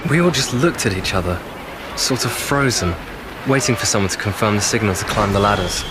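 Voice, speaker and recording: Low-voiced young British soldier, battle noise behind male actor. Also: expressive, fairly quick, natural voice. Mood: awed. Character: young British WWI infantryman recalling battle, awed and rueful. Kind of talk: audio drama monologue. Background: artillery fire, outdoor ambience.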